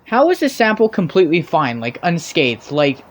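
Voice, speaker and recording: Sarcastic, very animated commentator. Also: mid-range voice, moderate pace. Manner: nitpicking, sarcastic. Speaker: video commentator. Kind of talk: sarcastic running commentary on game cutscenes.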